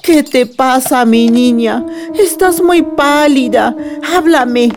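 A woman's voice: high voice, takes it slowly, music in the background. A woman playing a caring, sorrowful village grandmother in a radio drama, consoling and tender. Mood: worried.

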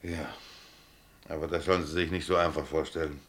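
A man's voice: a bass voice, steady tone, brisk, fairly bright tone. A man playing a stubborn, indignant working tram driver in a German radio play, blunt, emphatic and colloquial. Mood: defiant. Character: stubborn, indignant dismissed male tram driver fighting for his job.

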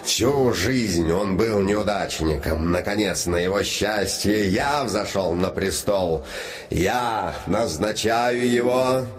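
Low, slow, mocking usurper king. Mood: mocking condescension. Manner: slow, deliberate, imperious. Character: shadow turned king, smug, mocking, commanding tyrant. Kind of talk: theatrical radio play acting.

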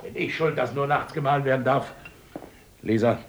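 An actor playing a bitter, domineering village miller who barks orders in a gruff, scornful way. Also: male voice, medium pitch, normal speed, very noisy, natural voice. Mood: bossy, bitter. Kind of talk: radio play acting.

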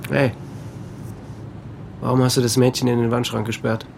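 A man's voice: mid-range voice, little pitch movement, normal speed, vocal fry, noisy background, lightly acted. An actor playing a tipsy, nosy man at the wheel in a radio drama, hesitant and muttering. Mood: nosy, probing. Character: tipsy, nosy male driver, weak and wavering.

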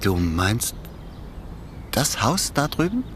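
A man's voice: medium pitch, sing-song, slow pace, a bit bright, slight background noise. An actor in a naturalistic radio play playing a wary, plain-spoken male petty thief, talking colloquially and hesitantly with muttered doubts. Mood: sceptical.

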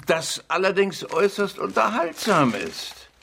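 An actor playing an urbane gentleman in a radio drama, relishing an adventure tale with measured, articulate, theatrical delivery. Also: high voice, sing-song, vocal fry, slight background noise, heavily acted. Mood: wryly amused. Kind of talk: radio drama acting, dramatic reading aloud.

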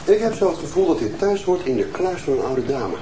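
An actor playing a matter-of-fact police detective who searches a house. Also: male voice, fairly high pitch, sing-song, brisk, mellow, slight background noise. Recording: roomy, distant TV soundtrack with music.

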